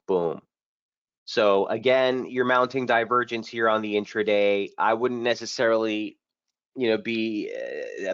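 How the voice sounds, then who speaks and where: Slightly hoarse man hosting a trading webinar. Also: lowish voice, no room sound. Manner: brisk, casual trader talk with frequent fillers. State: slightly hoarse, under the weather. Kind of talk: live market analysis webinar. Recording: dull, dry lo-fi stream audio.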